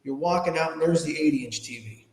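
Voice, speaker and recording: Mature male interview guest, fifties-plus, slightly high voice, informal animated storyteller, recorded in a reverberant room. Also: quick pace. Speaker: interview guest, public speaker. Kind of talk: conversational personal storytelling. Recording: echoey room with long reverb.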